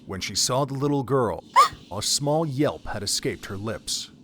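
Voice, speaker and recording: A man's voice: medium pitch, lively intonation, unhurried, heavy background noise. A man narrating a dramatic story from a script, as the narrator of an audio drama.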